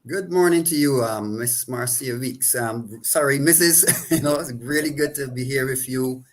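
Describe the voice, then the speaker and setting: Barbadian-accented man in his forties. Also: mid-range voice. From Saint Vincent and the Grenadines. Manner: reflective, halting with repeated restarts. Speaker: counselor and guest. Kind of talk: interview answers.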